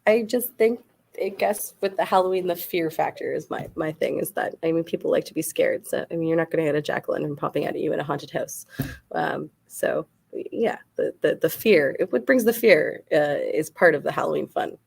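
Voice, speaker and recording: A woman's voice: deep voice, fairly quick, creaky, slightly rough. A woman guest in a casual podcast debate, rambling with lots of "like" fillers as she argues her point. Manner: rambling, heavy use of "like" fillers.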